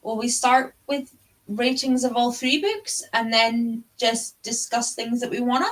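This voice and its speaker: A woman's voice: fairly high pitch, steady tone, a little slow, a bit bright. A woman co-hosting a book readalong live stream, chatting casually and rambling with frequent asides. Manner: easily sidetracked, chatty asides. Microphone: live-stream video call.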